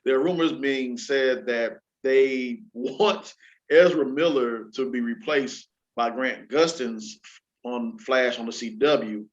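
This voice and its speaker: A man hosting a casual entertainment news show, talking in an animated, slangy way and addressing listeners like an announcer. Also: medium pitch, takes it slowly. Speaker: show host. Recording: dull lo-fi audio, music underneath.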